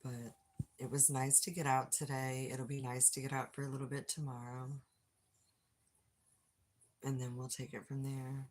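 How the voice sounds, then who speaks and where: An older woman with a low, husky voice chatting casually with viewers on a phone livestream. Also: little pitch movement, normal speed, pauses often, a little mellow, a little hiss or hum. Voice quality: low, gravelly voice. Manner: chatty, reads and answers chat aloud. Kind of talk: casual live chat with viewers. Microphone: phone without external mic.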